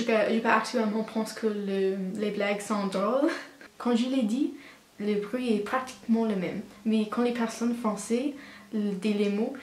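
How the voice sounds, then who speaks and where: A woman's voice: medium pitch, roomy sound. A teenage girl from Canada with an English Canadian accent, a native English speaker vlogging casually in a learned language, hesitant and searching for words. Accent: English Canadian learner of French. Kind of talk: casual vlog, speaking unscripted.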